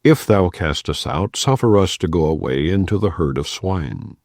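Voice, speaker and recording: A man reading the pleas of two fierce possessed men in a measured, solemn way. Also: low-pitched, animated, darkish tone, dry recording, studio quality. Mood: pleading.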